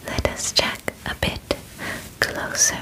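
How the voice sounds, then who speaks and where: Calm, clinical female alien examiner, played by a young woman: ASMR roleplay, whispered, very slow, soft and close-miked. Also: lightly acted, poor audio. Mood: calm, soothing. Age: 20s. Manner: slow, soft, formal, measured instructions. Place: small bedroom, indoors. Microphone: close mic, just in front of her.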